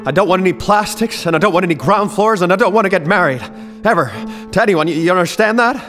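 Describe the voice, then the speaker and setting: A man playing a restless, stubborn young man in love, with halting, stammering speech, in an old-time radio drama. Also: high voice, a bit bright, faint music behind. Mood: angry.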